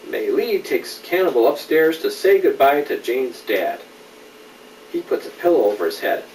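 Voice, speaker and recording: Middle-aged man, animated storybook reader chatting to a child; roomy, slightly noisy home recording. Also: medium pitch, moderate pace, recorded from a distance. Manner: animated, checks in with questions. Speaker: father reading a storybook aloud to a child. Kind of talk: bedtime story reading with asides to a child.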